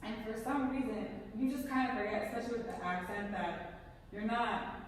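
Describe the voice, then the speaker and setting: A young woman talks casually on a podcast in a soft, reflective way and laughs often. She sounds distant from the mic, and a long reverb hangs on her voice. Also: fairly high pitch, steady tone, fairly quick, a little hiss or hum. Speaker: podcast co-host. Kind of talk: casual chat. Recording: echoey room, far from mic.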